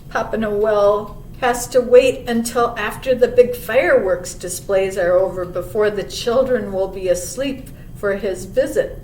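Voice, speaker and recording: A woman reading a children's picture book aloud as a library storyteller, pausing for chatty asides and questions to young listeners. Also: darkish tone. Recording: roomy, distant mic with clear low rumble noise.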